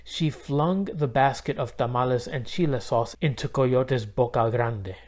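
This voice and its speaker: A man reading a children's storybook aloud as an expressive narrator. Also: medium pitch, measured pace. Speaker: storybook reader.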